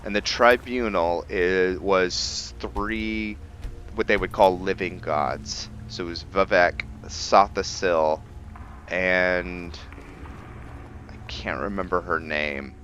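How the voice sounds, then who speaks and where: A man's voice: deep voice, takes it slowly, a bit bright, dull audio, slight background noise. A man giving casual, rambling let's-play commentary as a gaming host.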